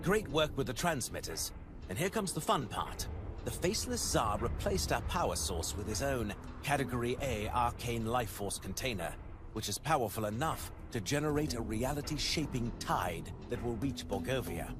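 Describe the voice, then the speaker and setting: An actor playing an eager, talkative ally who explains missions with theatrical enthusiasm in a video game. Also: male voice, medium pitch, sing-song, normal speed, over music, close to an everyday voice. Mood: eager briefing.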